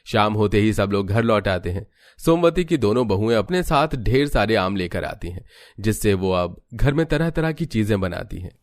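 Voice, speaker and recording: A man narrating an animated family story in a steady, even storytelling delivery. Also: on the low side, moderate pace, no room sound, clean studio sound. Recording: music under the voice.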